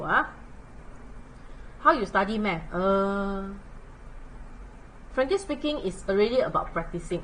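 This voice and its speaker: A Singaporean woman walks students through math problems in a chatty live lesson, her English sprinkled with local particles. Her pitch swings widely as she talks, and her tone is bright and forceful. A faint hiss of noise sits behind her voice. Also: poor audio. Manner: chatty, animated, uses local particles like lah and leh. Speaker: math tutor.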